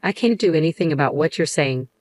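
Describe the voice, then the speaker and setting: A snobbish, bullying salesman from a narrated story, acted out by a woman. The voice sits fairly low and comes across as dismissive and scornful, with a close, dry sound. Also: expressive, fast, darkish tone, dry recording. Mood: smug. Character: arrogant, mocking male real estate salesman, snobbish bully.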